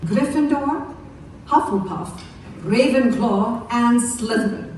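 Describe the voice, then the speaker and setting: A woman's voice: a little high, lively intonation, takes it slowly, noisy background. A woman playing a formal, stern wizard school professor in an audiobook drama. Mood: stately. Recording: echoey distant event playback, music behind.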